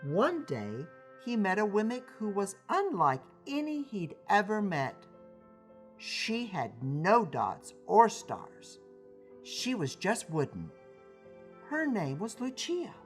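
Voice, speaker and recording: Male children's story reader: animated intonation, unhurried and emphatic, music underneath. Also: high-pitched. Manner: deliberate, emphatic storytelling with dramatic pauses. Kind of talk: expressive scripted children's story reading. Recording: music behind the voice.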